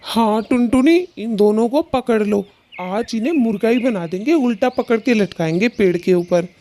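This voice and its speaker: Woman voicing a mellow, earnest male cartoon bird. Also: fairly high pitch, moderate pace, a little hiss or hum, close to an everyday voice. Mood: angry. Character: uneasy, earnest, protective male bird, a husband. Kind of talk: children's cartoon story acting.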